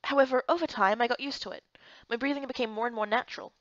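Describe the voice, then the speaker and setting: A woman reading scripted first-person story narration in an expressive, dramatized manner. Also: fairly high pitch, fast, no echo, muffled recording. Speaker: narrator and reader of a visual novel.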